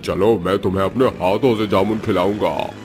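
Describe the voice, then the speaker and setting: A man playing a male ghost in a cartoon story, doting and menacing, with a drawn-out croon. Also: lowish voice, fairly even intonation, darkish tone, a little hiss or hum, lightly acted.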